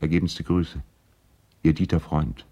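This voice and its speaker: Deep-voiced, courteous, earnest lawyer friend. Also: male voice, steady tone, unhurried, warm tone, highs cut off. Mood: pleading. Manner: earnest, personal, pleading. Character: courteous, worried male lawyer and loyal family friend. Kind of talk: 1970s German radio play, letters read aloud.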